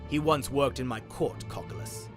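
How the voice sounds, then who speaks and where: A man voicing a stern, cruel aging king who speaks in a measured, menacing way. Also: mid-range voice, animated, over music, lightly acted.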